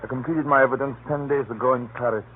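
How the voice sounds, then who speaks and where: Male actor as a hunted little man, secretive, earnest, nervous insistence, medium pitch, old radio play, narrow hissy recording. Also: steady tone, quick pace, lightly acted. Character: hunted, fearful little man, a spy-hunting informant. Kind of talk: 1930s radio drama acting. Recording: muffled, noisy 1930s radio recording. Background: faint hiss and noise.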